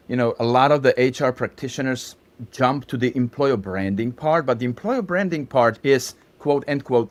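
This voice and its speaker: A man in his fifties from Slovakia with a Slovak accent, a podcast guest who explains at length with asides. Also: medium pitch. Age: 50s. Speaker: guest, startup founder. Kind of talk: podcast interview.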